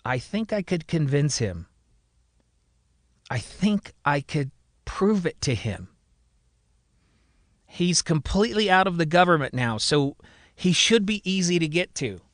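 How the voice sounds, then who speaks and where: A man's voice: a little high, sing-song, unhurried, pauses often, no room sound, pitched above the performer's own voice. A man voicing an insistent, pleading male president in dramatised podcast storytelling. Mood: persuasive. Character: urgent, pleading male president trapped in a patient's body.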